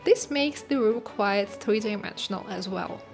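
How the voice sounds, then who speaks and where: A woman's voice: medium pitch, unhurried, creaky, soft background music. A woman vlogger giving travel narration and casual commentary on a sightseeing visit.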